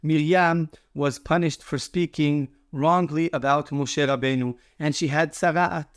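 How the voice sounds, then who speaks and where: Guy rabbi giving a little torah talk, voice a bit on the high side, stresses points by repeating, very dry sound. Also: steady tone, unhurried, smooth, no room sound. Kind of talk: short Torah sermon, explanatory.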